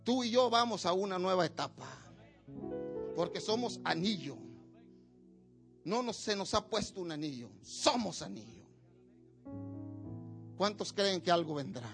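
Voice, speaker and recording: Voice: medium pitch, animated, unhurried, pauses often, music in the background. A preacher delivering a sermon at a church service, with emphatic, repetitive exhortation.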